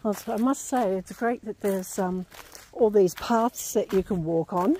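A woman exploring outdoors, giving excitable commentary with frequent laughs and gasps. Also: mid-range voice, measured pace, a little hiss or hum. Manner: excitable, laughs often, breaks into gasps and whispers. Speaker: vlogger exploring abandoned places. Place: outdoors on an overgrown rural property.

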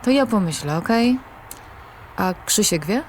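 A woman's voice: mid-range voice, lively intonation, unhurried, a little hiss or hum, lightly acted. An actress playing a busy, hesitant career woman on a phone call in an audio drama, casual and noncommittal.

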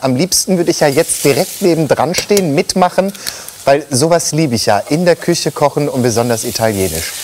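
Male TV host, thirties, mid-pitch, chatty and eager, lapel mic, faint studio hum. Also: darkish tone. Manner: chatty, enthusiastic questions. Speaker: TV presenter. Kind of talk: cheerful TV show presenting. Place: bright TV studio kitchen.

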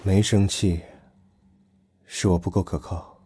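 A man's voice: low-pitched, fairly even intonation, takes it slowly, warm tone, slight background noise. A man acting a protective, possessive young lover in a Chinese audio drama, speaking in a restrained, intimate way. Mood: subdued.

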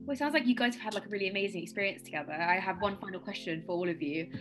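A woman's voice: medium pitch, rapid-fire, music in the background. A woman in her twenties casually hosting an interview over a video call. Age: 20s. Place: indoor room, video call.

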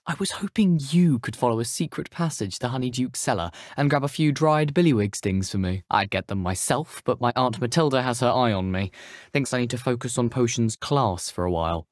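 A man's voice: medium pitch, no room sound, clean studio sound, natural voice. A young man with a British accent playing an eager, cheeky teenage wizard schoolboy in a video game, chatty, persuasive and self-assured. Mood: persuasive, scheming. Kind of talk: video game character dialogue.

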